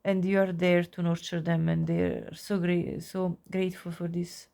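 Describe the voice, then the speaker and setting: A woman with a cold and a nasal voice giving a pick-a-card tarot reading, gushing softly over the cards. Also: fairly low pitch, level tone, measured pace, gravelly. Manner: soft, gushing, warm-hearted with frequent little laughs. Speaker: tarot reader.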